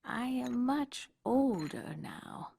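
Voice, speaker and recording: A very old, wise elder woman from a video game, gentle and weary. Her voice is gravelly and breathy, dropping toward a whisper, and every word comes out extremely slowly and deliberately. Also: fairly high pitch, big pitch swings, full character voice. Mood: tender. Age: older. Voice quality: creaky, husky and whispery. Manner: slow, hushed and deliberate. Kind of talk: video game cutscene voice acting.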